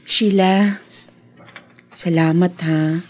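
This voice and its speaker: Husky, forgiving Filipino daughter. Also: female voice, fairly low pitch, fairly even intonation, darkish tone. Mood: tender, grateful. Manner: slow, earnest, emotional delivery. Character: forgiving, devout grown daughter, tender and tearful. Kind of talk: Filipino radio soap opera acting.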